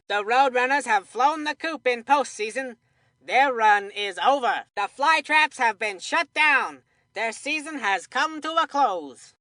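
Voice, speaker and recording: A woman's voice: on the high side, pushed and bright, no room sound. A woman voicing an upbeat cartoon commentator in a kids' baseball video game, with punchy, scripted sports-announcer delivery.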